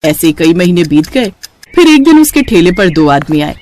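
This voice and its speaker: A woman narrating a story in an expressive storytelling style. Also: lowish voice, moderate pace, a little hiss or hum.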